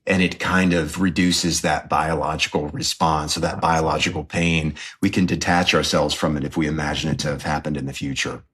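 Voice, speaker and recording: Deep husky male podcast co-host. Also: steady tone, brisk, hardly any pauses, fairly bright tone. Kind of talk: conversational interview.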